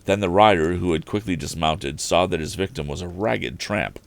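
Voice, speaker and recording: A man reading an adventure story aloud in an expressive audiobook style. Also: deep voice, moderate pace, creaky.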